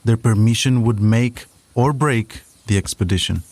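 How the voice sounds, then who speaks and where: A man's voice: on the low side, normal speed, a little mellow, dry recording. A man narrating a scripted audio documentary as its host.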